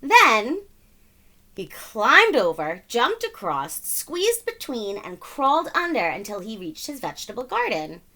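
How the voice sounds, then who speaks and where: A woman's voice: fairly high pitch, wildly swooping pitch, a little slow, bright, forceful tone. A woman reading a children's story aloud in a dramatic, emphatic style, playful with sound words. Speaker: storybook reader. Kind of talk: animated children's read-aloud narration.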